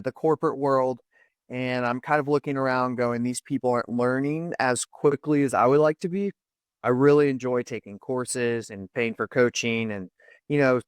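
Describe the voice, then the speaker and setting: A man's voice: medium pitch, clear, resonant tone, no room sound, clean studio sound. A man raised in the US and Denmark, whose first language is Danish, telling his career story as a podcast guest in an eager, running style. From United States and Denmark. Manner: enthusiastic storyteller, repeats "I'm" phrases. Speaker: podcast guest, video studio founder. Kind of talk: casual interview talk.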